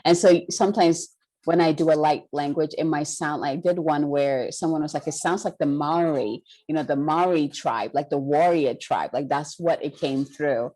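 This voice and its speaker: Low-voiced woman from Ghana, earnest. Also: moderate pace, mellow, low-quality recording. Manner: earnest, affirming, emphatic. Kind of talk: spiritual conversation.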